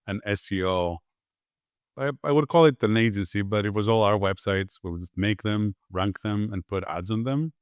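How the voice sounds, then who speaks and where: A man from Greece, a podcast guest, giving relaxed conversational answers with frequent fillers and restarts. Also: lowish voice, normal speed, smooth, no room sound, studio quality. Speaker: podcast guest, agency founder.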